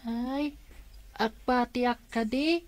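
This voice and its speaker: An innocent little kid in a radio drama. The voice is very high and slow, with a curious manner. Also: female voice. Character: innocent, curious young child of a single mother. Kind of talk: Ilocano radio comedy-drama acting.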